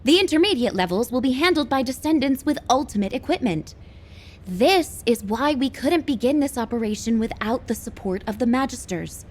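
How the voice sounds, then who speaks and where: A woman's voice: fairly high pitch, animated, moderate pace. An actress playing an earnest, dutiful woman officer who briefs the team in precise, formal language. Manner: precise and formal, stammers when flustered. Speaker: operations officer. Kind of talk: game cutscene voice acting.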